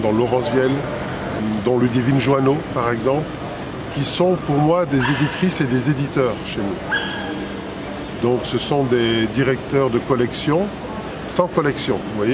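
A man in his fifties, a poet and publisher, answering interview questions thoughtfully with many hesitations and restarts. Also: mid-range voice. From Italy and Belgium. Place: city square outdoors, traffic nearby. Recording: outdoor street noise.

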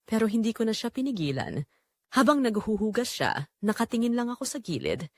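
A woman narrating an audio drama as a middle-aged mother-in-law confessing secret longing, in an intimate, measured way. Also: fairly high pitch, big pitch swings, darkish tone, no room sound, clean studio sound. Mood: confessional, yearning. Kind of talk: first-person dramatic storytelling.

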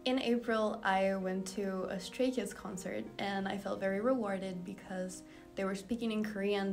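A young woman tells an interviewer about her year in an upbeat way, her pitch staying flat and even. She is seated in a university lobby. Clear background music plays under her voice. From Argentina. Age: young adult. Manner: upbeat, talks through her months in turn. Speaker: university student. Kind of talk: interview to camera. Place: indoor university building lobby.

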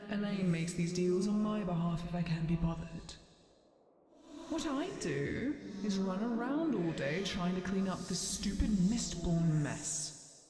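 A woman's voice: lowish voice, expressive, unhurried, warm tone, soft background music. A woman playing a powerful, irritated goddess in a fantasy audio roleplay, blunt and sarcastic, in an echoing space. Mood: exasperated rant. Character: exasperated, blunt, powerful goddess from another dimension. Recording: echoey long reverb, faint background noise.

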